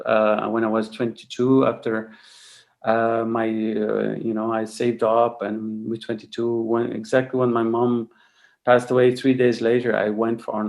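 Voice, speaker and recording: A man in his forties from Lebanon, a podcast guest telling his story in a halting way, with many fillers and restarts. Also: medium pitch, gravelly. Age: 40s. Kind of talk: casual interview talk.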